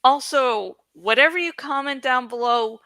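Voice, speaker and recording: A woman's voice: sits high, a little slow, bright, forceful tone, no echo, studio quality. A woman YouTuber talking casually to her viewers in a playful, rambling, self-deprecating way. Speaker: YouTuber who reads books aloud. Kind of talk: casual, chatty vlog talk to viewers.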